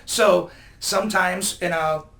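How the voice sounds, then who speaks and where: Man, fairly high pitch, guest expert, explanatory discussion, emphatic delivery, instructive. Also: steady tone, slow, sharp tone. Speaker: guest expert giving business tips. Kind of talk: explanatory discussion of communication tips.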